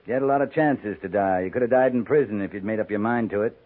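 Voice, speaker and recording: An American man playing a steady, plain-spoken cavalry captain in a 1950s radio western, firm and laconic. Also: on the low side, fast, phone-quality sound, close to an everyday voice. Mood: firm, unflinching. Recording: band-limited 1950s radio recording.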